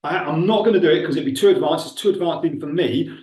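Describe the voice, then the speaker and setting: A man's voice: on the high side, quick pace, darkish tone, far from the mic. A man with a Milton Keynes accent giving a casual tutorial, chatty and thinking aloud as he clicks through a demo. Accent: Milton Keynes English. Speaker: recruitment trainer. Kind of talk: casual screen-share tutorial. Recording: roomy echo, speaker away from the mic.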